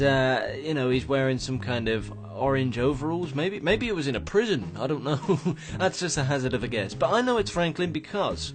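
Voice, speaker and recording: A man hosting a gaming show, his voice slightly croaky from just waking up as he rambles through screenshot commentary with many restarts. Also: mid-range voice. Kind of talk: casual commentary on game screenshots.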